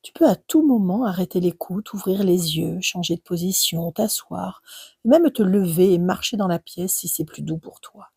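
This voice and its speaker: A woman leading a guided relaxation in a soft, breathy voice that often nears a whisper, soothing and unhurried, with gentle pauses between short phrases. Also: fairly low pitch, dry recording, studio quality. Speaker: yoga nidra relaxation guide. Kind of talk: guided meditation narration.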